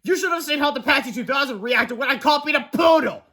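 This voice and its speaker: Man acting a high-voiced, boastful schoolboy inventor. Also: quick pace, bright, forceful tone, full character voice. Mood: proud, boastful. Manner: grandiose, showy, lecturing. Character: eager, boastful schoolboy inventor, proud of his gadget.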